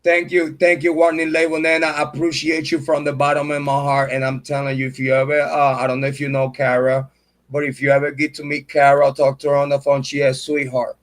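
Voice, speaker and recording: A man with a fairly high voice hosting a true crime stream and tearing into a rival. He curses freely and repeats his lines for punch. Between jabs he stops to thank his viewers by name. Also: completely flat delivery, a little fast. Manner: heated, profane and repetitive, calling out a rival. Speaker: true crime channel host. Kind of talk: livestream commentary rant.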